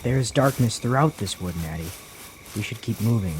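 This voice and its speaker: A man's voice: lowish voice, expressive, moderate pace, a little mellow, slight background noise, lightly acted, poor audio. A man playing a cautious, earnest young traveler in a fantasy audio drama, speaking formally as an anxious protector.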